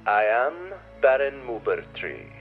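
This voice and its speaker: An actor playing a menacing elderly baron who speaks slowly and formally through a video-call filter in an audio drama. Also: male voice, mid-range voice, expressive. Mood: smooth, insistent. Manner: slow, deliberate, formal speech. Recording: dull, band-limited video-call sound, music behind.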